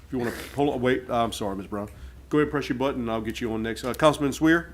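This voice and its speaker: A man's voice: medium pitch, quick pace. A man chairing a council meeting in formal parliamentary procedure, speaking into a meeting microphone. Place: public meeting room.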